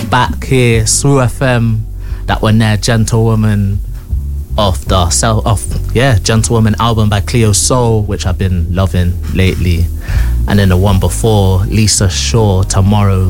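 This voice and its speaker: Low-voiced British radio host over music. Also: male voice. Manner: enthusiastic, slangy, repeats phrases. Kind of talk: casual music radio interview. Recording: music playing behind the voice.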